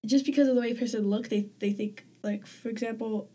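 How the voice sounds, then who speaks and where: Female guest, warm low-key tone, loose and halting delivery, lots of fillers. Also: steady tone. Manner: hesitant, with many fillers and restarts. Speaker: guest community member. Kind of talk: casual conversation.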